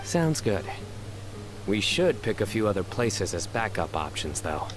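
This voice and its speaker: Casting: solemn game guardian, deadpan and formal, lowish pitch, music behind. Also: male voice, unhurried. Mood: calm. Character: grave, deadpan, reserved male guardian. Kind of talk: English game voice acting.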